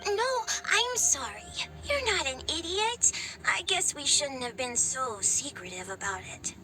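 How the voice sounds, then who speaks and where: A woman's voice: high voice, animated, a little slow, over music, poor audio. A woman voicing a cheeky but caring little village girl in a video game, with a childlike voice. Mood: apologetic. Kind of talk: video game character dialogue.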